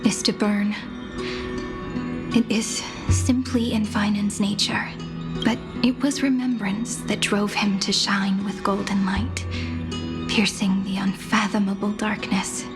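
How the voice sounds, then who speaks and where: An actress voicing a serene, gracious young woman in a game, soft and measured, over game music. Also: mid-range voice, little pitch movement. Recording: game soundtrack underneath.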